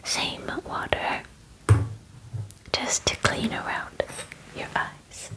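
Woman in her twenties, ASMR whisper, slow pace, gentle and soothing, breathy, close-miked. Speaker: ASMR roleplayer playing a werewolf spa groomer. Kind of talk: ASMR personal-attention roleplay, whispered. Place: small indoor room. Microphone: close microphone, near the camera.

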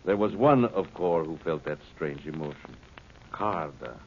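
An actor playing a cold, superior alien being in a human body, speaking precisely and with detachment, in an old 1950s radio drama. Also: male voice, extremely low pitch, expressive, unhurried. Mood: detached. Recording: dull old radio broadcast with faint hiss.

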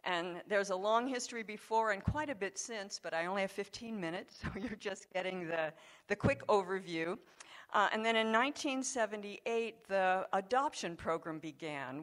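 An older woman gives a scientific talk at a conference podium, speaking through a handheld microphone. Her delivery is measured and conversational, with the odd filler. The sound comes from a large meeting hall with an audience. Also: mid-range voice, fairly bright tone.

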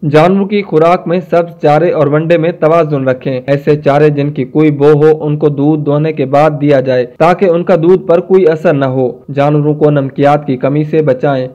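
Mellow Pakistani man, scripted instructional narration. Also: fairly high pitch, steady tone, moderate pace, hardly any pauses. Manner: formal, instructive. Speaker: narrator of farming advice. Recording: dull, muffled lo-fi voice-over.

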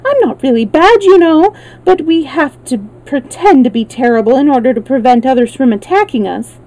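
A high-pitched, pleading male creature from a storybook, acted out by a woman. He is eager and anxious, a harmless soul who once pretended to be fierce, and explains himself earnestly in a mellow tone. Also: moderate pace, poor audio.

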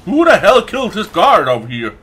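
A man who is not a native English speaker gives casual gameplay commentary, haltingly searching for words and swearing now and then. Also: sits high, wildly swooping pitch, a bit bright, breathy edge. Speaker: let's play host.

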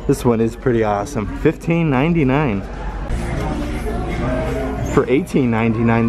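Male vlogger, mid pitch, upbeat bargain-hunting tone, handheld camera in a shop with music. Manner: upbeat, reads out prices, frequent "guys" and "look at that". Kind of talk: casual shopping vlog walkthrough. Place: indoor retail store.

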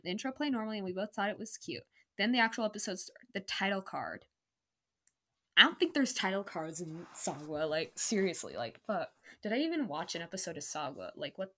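A woman's voice: mid-range voice, bright, forceful tone. A young adult woman casually reading her own story aloud with self-mocking, incredulous commentary. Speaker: online reader of own stories.

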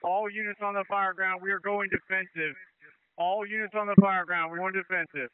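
A man's voice: high-pitched, steady tone, fairly quick, clear, resonant tone. A man giving terse, urgent orders as fire incident commander over a two-way radio. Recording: tinny band-limited two-way radio traffic.

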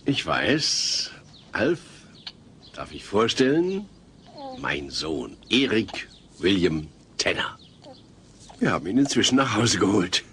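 A gentle middle-aged family man in a sitcom radio play. He is earnest and patient, with lively, varied intonation and faint ambience behind him. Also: lowish voice, takes it slowly, long pauses, a little hiss or hum, heavily acted. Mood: relieved. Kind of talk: German sitcom audio drama.

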